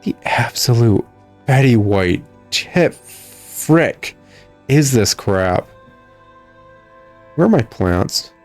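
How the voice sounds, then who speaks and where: A man's voice: medium pitch, big pitch swings, drawn-out words, long pauses, darkish tone, soft background music. A man giving sarcastic, exasperated gameplay commentary. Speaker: gamer commentating a playthrough. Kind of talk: mocking gameplay commentary, reading game text aloud.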